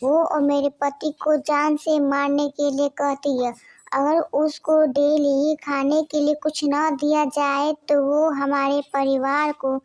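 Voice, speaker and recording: Woman as a high, slow, insistent bird wife. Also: level tone. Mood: feigned distress. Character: scheming, greedy bird wife. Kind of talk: children's cartoon story acting.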